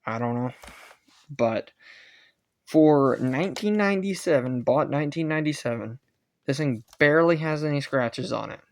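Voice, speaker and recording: A man giving a casual, rambling hobbyist gadget review, halting and often correcting himself as he goes. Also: fairly high pitch. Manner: halting, self-correcting, unscripted.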